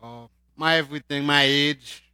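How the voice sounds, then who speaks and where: A man from Haiti with a Haitian accent, preaching a sermon and swinging between loud emphatic lines and quiet asides. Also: on the high side, fairly even intonation, moderate pace, clear, resonant tone, no room sound, studio quality.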